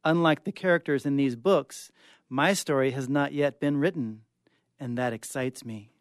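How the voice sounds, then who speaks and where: A man's voice: mid-range voice, moderate pace, dry recording. A man reading short stories dramatically as a guest in a radio studio. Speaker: guest reader.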